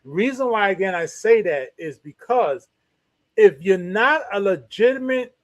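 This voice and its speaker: A man co-hosting a casual talk show, speaking in a wry, measured way and thinking aloud. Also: high-pitched, animated, dry recording. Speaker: co-host.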